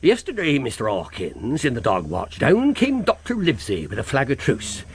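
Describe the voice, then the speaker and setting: An actor playing a wily middle-aged pirate captain in a radio drama, with a theatrical seafaring dialect, moving between wheedling joviality and commanding menace. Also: male voice, medium pitch, huge pitch swings, normal speed, husky, dry recording, heavily acted. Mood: storytelling relish.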